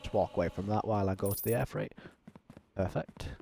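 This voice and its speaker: A man talking through a simulator game as a channel host, in a self-described monotone with frequent ums. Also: on the low side, a little fast, creaky, a little hiss or hum. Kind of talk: casual gameplay commentary.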